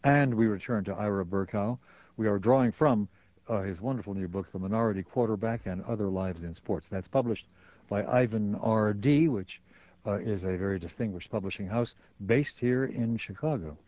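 A man hosts a radio interview with measured, formal phrasing and a lowish voice. The recording is dry and muffled, like an old broadcast. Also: darkish tone, no room sound. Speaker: radio host. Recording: dull lo-fi radio sound.

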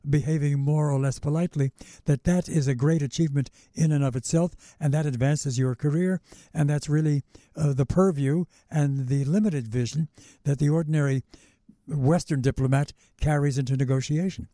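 A man's voice: a little high, steady tone, normal speed, mellow, no room sound, studio quality. A man hosting an interview, asking long, winding questions full of hesitations. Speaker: interviewer host.

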